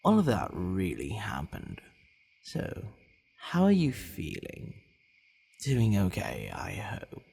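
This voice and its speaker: A man playing an imperious but secretly softening male vampire in an ASMR roleplay, drawling theatrically with murmured asides. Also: fairly low pitch, wildly swooping pitch, slow, gravelly, slight background noise, full character voice. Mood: attentive.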